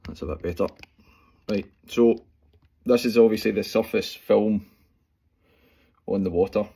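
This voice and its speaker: A Scottish man in his forties explaining fly tying step by step at home, talking in a relaxed way with plenty of fillers as he works. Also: fairly low pitch, unhurried, gravelly, slightly rough. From Scotland. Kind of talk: casual talk-through tutorial. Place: small room at home, indoors. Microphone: phone camera close up.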